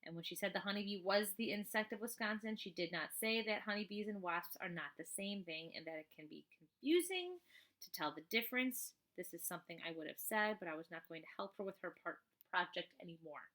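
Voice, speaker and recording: A woman reading a children's book aloud like a teacher, expressively, with chatty asides in an animated, emphatic manner. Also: mid-range voice, brisk.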